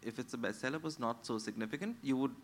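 A man talks to a live audience from a panel at a public event. He explains in fluent, animated stretches, with plenty of "um" and "so" along the way. His voice sits in a middle register, with faint background noise in the room. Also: medium pitch, quick pace. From India. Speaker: novelist on a panel.

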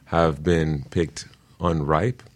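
A man speaking as an expert guest in an interview, explaining things in an earnest, deliberate way. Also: extremely low pitch, fairly even intonation. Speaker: guest expert, permaculture teacher.

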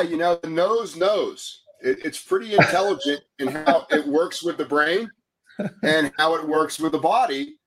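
A man hosting an interview, who also coaches and leads a guided breathing exercise, speaking in a calm, measured, soothing way. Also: fairly high pitch, poor audio. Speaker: host, coach.

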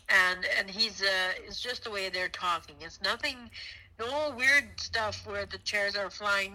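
A woman's voice: medium pitch, pushed and bright, rough recording. A middle-aged woman chatting casually as a guest, in an unpolished, slightly hesitant and self-effacing way. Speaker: host's mother, a guest. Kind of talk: casual chat.

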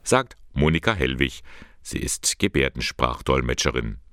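A man with an extremely low, booming voice presents a radio segment from a script. His delivery is calm and measured, with a lively, swinging melody. The sound is dry and close. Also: dry recording. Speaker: radio host.

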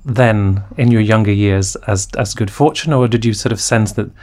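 A man hosting an interview speaks with a fairly low voice. He reads a scripted introduction, then asks thoughtful questions, often stumbling and repeating words as he goes. His tone stays level and unhurried. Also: a little mellow. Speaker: interviewer host.

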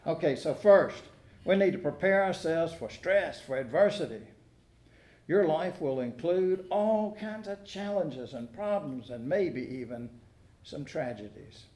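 An American man preaching a sermon in a folksy, conversational way, pausing deliberately between phrases. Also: fairly high pitch, expressive, a little mellow. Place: church sanctuary during a service. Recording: echoey room sound.

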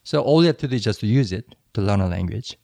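A man with a low, gravelly voice joins the talk with brief agreements and clarifying questions. He sounds close and dry. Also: lively intonation, fast, darkish tone, no room sound. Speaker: podcast co-host. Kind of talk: casual conversational chat.

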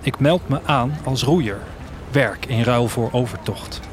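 A man's voice: medium pitch, a little slow, a little hiss or hum, close to an everyday voice. A man acting as a wistful, restless traveller, narrating an audio drama in a reflective, literary first-person style. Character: wistful, restless traveller leaving his future city. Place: studio, music behind.

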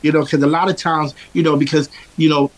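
A man's voice: fairly high pitch, flat delivery, quick pace, low-quality recording. Rambling man, singer telling road stories. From Philadelphia. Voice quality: strong, powerful voice. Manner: rambling, with many fillers and restarts. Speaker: singer and interview guest. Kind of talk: casual interview chat.

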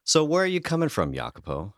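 A man's voice: fairly high pitch, huge pitch swings, quick pace, fairly bright tone, no room sound, clean studio sound. A man hosting a casual radio interview, introducing and questioning his guest. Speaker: radio host.

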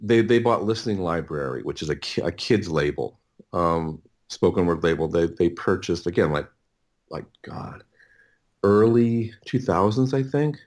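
A middle-aged man talking casually as an interview guest, in a halting, rambling way with many repeated words and restarts. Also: low voice, expressive, a little mellow. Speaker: interview guest, audiobook producer.

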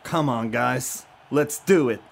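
A man's voice: mid-range voice, takes it slowly, vocal fry. A man from Norway playing an eager, pushy young band member in an amateur radio play. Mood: urging.

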